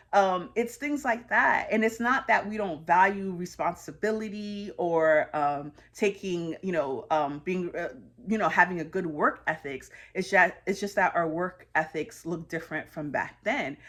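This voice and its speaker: A woman's voice: on the low side, a bit bright. A woman in her thirties from the United States, talking through personal reflections in an earnest, conversational way with frequent fillers. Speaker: host sharing personal reflections.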